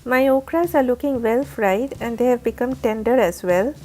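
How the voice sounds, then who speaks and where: A woman with a raspy, heavy voice from a flu, giving careful step-by-step cooking instructions as the host of a recipe channel. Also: on the high side, moderate pace, music in the background. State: hoarse from a flu. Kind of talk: recipe voice-over narration.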